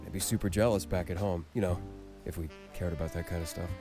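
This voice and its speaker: A friendly male visual novel character acted out by a man, pitched low and relaxed in temper. He comes across as a helpful, easygoing newcomer, with music playing clearly underneath. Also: quick pace, natural voice. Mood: easygoing, curious.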